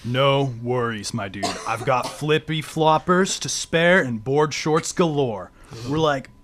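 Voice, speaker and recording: A man's voice: mid-range voice, expressive, slow, fairly bright tone. A young man playing a friendly, dim surfer dude in a comic radio serial, drawling slacker slang. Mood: easygoing. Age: young adult. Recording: faint music behind the voice.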